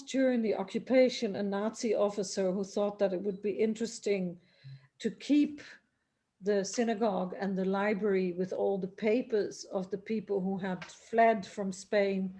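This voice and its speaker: Mellow-voiced Dutch-born woman, rambling. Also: medium pitch. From Netherlands. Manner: rambling, restarts often, trails off. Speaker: guest, singer and writer. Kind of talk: remote interview, conversational storytelling. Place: home in Dublin, near a busy road.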